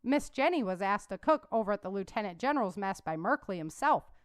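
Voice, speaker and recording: A woman's voice: mid-range voice, animated, fairly quick, clear, resonant tone, dry recording, clean studio sound, natural voice. Woman reading as Dixie, cheerful southern cook. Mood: friendly. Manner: folksy greeting.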